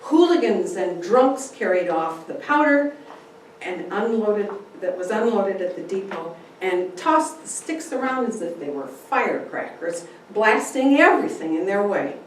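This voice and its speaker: Slow, dramatic woman in an echoey room. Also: mid-range voice, lively intonation. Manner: deliberate, dramatic phrasing. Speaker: speaker giving a dramatic reading. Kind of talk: theatrical story narration. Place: indoor room, speaking to a group. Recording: very echoey room, distant mic, faint noise.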